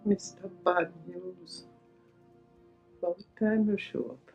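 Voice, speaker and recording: An actress playing an ailing Jamaican woman in a hospital bed, speaking in a hushed, breathy whisper with a Jamaican accent. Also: fairly low pitch, fairly even intonation, slow, muffled recording, faint music behind. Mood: teasing. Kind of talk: film drama dialogue.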